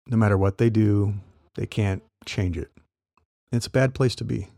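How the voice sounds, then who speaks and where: A man speaks as a career coach in an easygoing, explanatory tone, sometimes chuckling. His voice is rough and gravelly, a bit worn from losing it. The sound is close and dry, with no room around it. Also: on the low side, steady tone, moderate pace, warm tone, no echo. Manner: conversational, explanatory, light laughs. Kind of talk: solo podcast talk.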